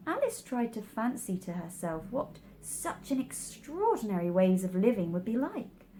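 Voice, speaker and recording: A woman's voice: fairly high pitch, very animated, normal speed, mellow, a little hiss or hum, rough recording. A woman reading a children's story aloud as narrator. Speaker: storybook reader.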